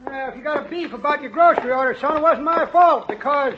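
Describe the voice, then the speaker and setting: A man's voice: very high voice, fast. An actor playing a jokey, hard-of-hearing old man in folksy 1950s radio comedy style. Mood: chatty, befuddled. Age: older. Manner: folksy, jokey, calls everyone kids, son and daughter. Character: cheerful, joking, hard-of-hearing old male codger, former prospector. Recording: dull, lightly noisy vintage radio recording.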